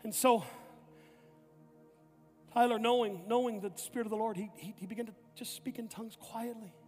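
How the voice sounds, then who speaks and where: A man's voice: sits high, fairly even intonation, moderate pace, a little mellow. A man preaching a sermon as pastor, emphatic and repetitive, often restarting his phrases. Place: church sanctuary. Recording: echoey hall with faint music.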